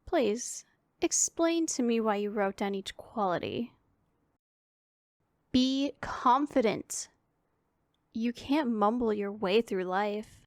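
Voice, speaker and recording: Woman playing a stern, unhurried demon woman. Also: a little high, expressive, no room sound, clean studio sound. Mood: stern, demanding. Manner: authoritative, formal, imperious diction. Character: stern, commanding yet secretly caring powerful female demon. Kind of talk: scripted ASMR roleplay addressed to the listener.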